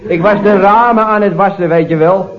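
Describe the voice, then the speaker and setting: Man acting high-voiced cheeky young nephew. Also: moderate pace, lightly acted. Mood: innocently unbothered. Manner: casual, slangy, unbothered. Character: cheeky, laid-back, clueless young nephew. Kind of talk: 1970s Dutch radio comedy acting. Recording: dull vintage radio recording.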